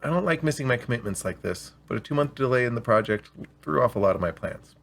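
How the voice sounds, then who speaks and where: A man with a slightly rough voice, hosting and reading scripted stories aloud in an expressive, deliberate way. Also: medium pitch, a little hiss or hum. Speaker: storyteller and show host. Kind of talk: scripted story reading with spoken intro.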